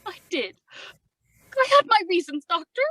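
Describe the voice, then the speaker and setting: Theatrical young widow played by a woman, high voice. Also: big pitch swings, moderate pace, sharp tone, no echo, full character voice. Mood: distressed, confiding. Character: young, unhappy, self-dramatizing widow under suspicion. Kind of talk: old-time radio drama acting.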